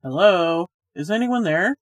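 A woman's voice: fairly low pitch, expressive, unhurried. A woman voicing a brash young male party guest in a ghost story. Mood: calling out. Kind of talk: ghost story character acting.

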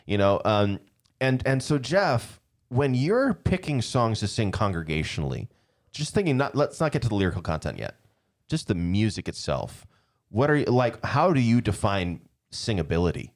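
A man hosting a casual conversation about church music, animated and quick to laugh. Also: fairly low pitch. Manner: animated, laughs often, restarts sentences. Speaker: podcast host, church leader.

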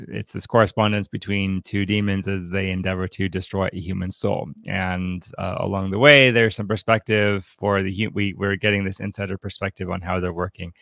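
A man hosting a podcast, chatting casually about books in an unscripted, halting way as he thinks aloud. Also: fairly low pitch, creaky, fairly bright tone, dry recording, studio quality. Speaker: podcast host. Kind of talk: casual unscripted book discussion.

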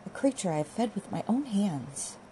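A woman's voice: mid-range voice, lively intonation, normal speed, warm tone, a little hiss or hum, voice pushed up, close to an everyday voice, low-quality recording. A woman voicing a tender-hearted young countryman, earnest and pained, in an audiobook. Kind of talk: audiobook character dialogue.

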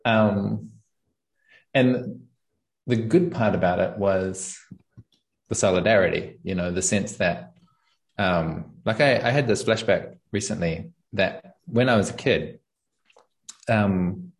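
Thoughtful New man, low voice. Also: slow pace, pauses often. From New Zealand. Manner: thoughtful, searching for words, frequent ums and laughs. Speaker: podcast guest and channel host. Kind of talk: casual conversational interview.

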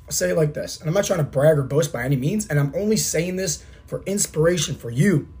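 A man's voice: fairly high pitch, fast, darkish tone, faint music behind. A man in his thirties, a coach hosting his podcast, giving an earnest motivational monologue with a scratchy, failing voice. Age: 30s. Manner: earnest, repetitive, coach-like pep talk. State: scratchy throat, losing his voice. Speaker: podcast host and fitness coach.

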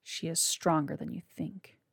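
A woman voicing the stern ghost of an old father, speaking in hushed, grave warnings. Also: sits low, steady tone, unhurried, gravelly, breathy edge, dry recording, heavily acted.

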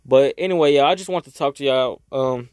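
Young man, raspy from crying, rambling, heartfelt, swearing, medium pitch. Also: a little mellow, dry recording, studio quality. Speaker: vlogger. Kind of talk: casual emotional monologue. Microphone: own microphone.

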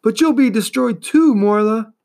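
A man's voice: very high voice, moderate pace, creaky, darkish tone, voice pushed up. A man voicing a brave young boy hero who speaks urgently, in a raised, pleading voice.

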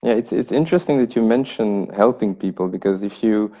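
Mellow-voiced podcast host, dry distant mic. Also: male voice, fairly low pitch, brisk, no room sound. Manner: earnest, encouraging questions. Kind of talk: podcast intro and interviewing.

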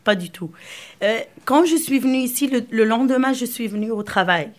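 A woman's voice: fairly high pitch, normal speed. A woman from Morocco whose first language is Arabic, speaking French in a casual interview, hesitant, repeating words and laughing often. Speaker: interview guest.

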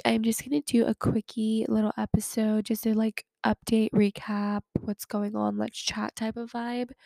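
Nasal young woman podcaster, gravelly and flat. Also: fairly high pitch, dry recording, clean studio sound. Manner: chatty, fillers like "like" and "um". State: sick, recovering from a cold. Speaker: podcast host. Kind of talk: casual chat.

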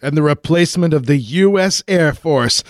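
An actor playing an earnest, manly flyboy hero in a 1940s-style radio play, hearty and patriotic. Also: male voice, fairly high pitch, lively intonation, moderate pace, dry recording, clean studio sound, natural voice. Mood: eager, patriotic.

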